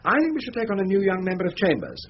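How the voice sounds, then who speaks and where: Radio play: pompous upper-crust English barrister, middle-aged flirt, highly animated delivery, slightly muffled sound. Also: male voice, heavily acted. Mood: coaxing, flattering. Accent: upper-class English. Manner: oily, gushing, drawn-out flattery. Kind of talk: British radio comedy-drama acting. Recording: dull lo-fi radio recording, background noise.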